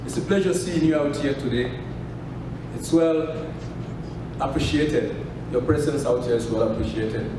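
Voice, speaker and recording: Echoey Sierra Leonean man speaking from afar. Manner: measured, pausing, drops low at phrase ends. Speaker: author at a book launch. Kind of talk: live storytelling to an audience. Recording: echoey room from a distance with noise.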